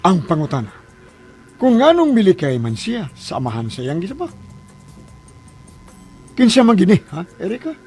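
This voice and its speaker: A man's voice: high voice, huge pitch swings, normal speed, long pauses, darkish tone, faint music behind. A man acting in a Philippine radio drama as an older father-in-law, questioning insistently in a Filipino accent and standing up for his son. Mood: probing, stern.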